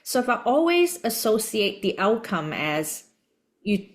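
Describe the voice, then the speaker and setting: A woman's voice: medium pitch, sing-song, a little slow. A woman from Hong Kong whose native language is Cantonese, speaking English as an interviewer in a reflective conversation.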